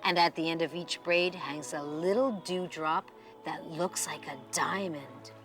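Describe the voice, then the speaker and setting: A woman's voice: low voice, fairly even intonation, unhurried, music in the background, pitched below the performer's own voice. A woman voicing a tiny, kindly old mountain woman in a children's storybook, full of wonder. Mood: admiring. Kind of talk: storybook character reading.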